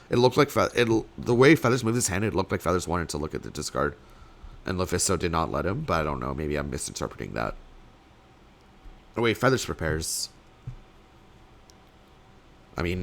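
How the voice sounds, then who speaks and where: A young man, slightly sick and losing his voice, casting a card game tournament alone in rambling play-by-play full of fillers, with sudden bursts of excitement. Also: low-pitched. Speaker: tournament caster. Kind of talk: solo play-by-play commentary.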